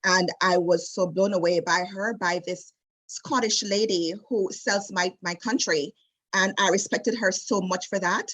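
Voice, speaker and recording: Husky Jamaican woman telling stories. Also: fairly low pitch, a little slow, poor audio. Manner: lively storyteller, many fillers and restarts. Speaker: destination wedding specialist, guest. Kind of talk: casual interview chat.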